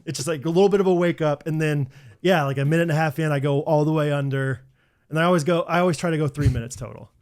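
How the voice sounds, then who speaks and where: A man's voice: a little high, quick pace, darkish tone. A man co-hosting a podcast, telling a story in casual chat with lots of filler words. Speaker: podcast co-host.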